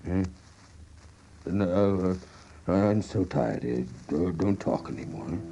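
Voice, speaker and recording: A man's voice: low-pitched, expressive, slow, mellow, dull audio, a little hiss or hum, heavily acted. An actor playing a weary, proud older American salesman in a stage-style radio drama, stammering and rambling with desperate emphasis. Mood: exhausted. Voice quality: husky, gravelly. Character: weary ageing travelling salesman, proud, desperate, self-deluding.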